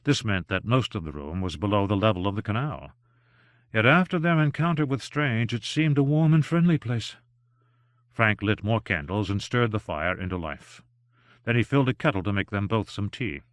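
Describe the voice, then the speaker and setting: A man's voice: on the low side, animated, normal speed, no echo. Man narrating an audiobook, dry sound. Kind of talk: scripted narration.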